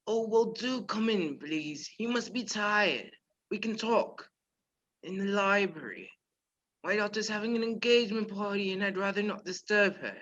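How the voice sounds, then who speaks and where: Someone acting a smooth evil professor guy in a radio play, super polite but menacing, kinda dull lofi audio. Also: mid-range voice, normal speed, a bit bright, natural voice. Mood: courteous. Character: suave, menacing male professor, secret spy chief. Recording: dull lo-fi virtual recording.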